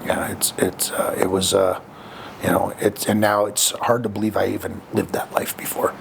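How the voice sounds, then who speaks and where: A man speaks frankly as a podcast guest, his voice low and gravelly. He reflects on his past in an unhurried, conversational way, often pausing with fillers and restarts. A faint hiss sits under the recording. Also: flat delivery. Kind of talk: candid conversational storytelling.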